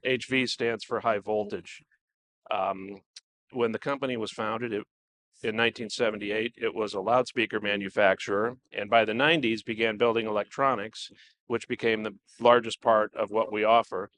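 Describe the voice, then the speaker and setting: A man giving an unscripted, explanatory product presentation in a measured, halting way, as a company representative. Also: on the low side, fairly even intonation, a bit bright.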